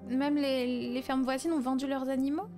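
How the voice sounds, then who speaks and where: Woman roleplaying anxious young woman, high-pitched, polite, hesitant, apologetic, game roleplay, background music. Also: little pitch movement, moderate pace. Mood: worried. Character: anxious, polite young woman, film actress and worried daughter. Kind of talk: improvised French online roleplay.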